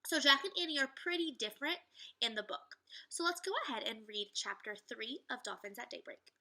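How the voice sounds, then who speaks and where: A woman with a high, strikingly bright voice speaks as a primary school teacher. She explains directly to her young pupils in the style of a read-aloud lesson. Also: animated, fairly quick, poor audio. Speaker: second grade teacher.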